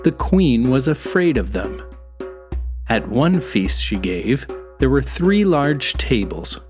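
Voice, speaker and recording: Very animated, unhurried children's storyteller. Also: mid-range voice, music in the background. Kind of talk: expressive scripted children's folktale narration.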